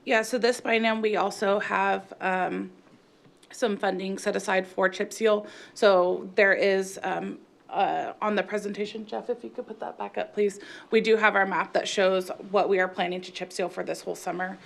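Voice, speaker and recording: A woman's voice: mid-range voice, fairly even intonation, normal speed, creaky. An American woman on city staff explaining plans and answering questions in a meeting.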